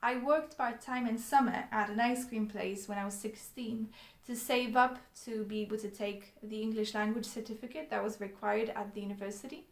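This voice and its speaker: A young Polish woman tells her personal story straight to camera, speaking with few rises or falls. She is earnest and often stops on an um or restarts a phrase. The room around her sounds echoey. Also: medium pitch. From Poland. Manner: earnest, with frequent ums and restarts. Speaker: vlogger.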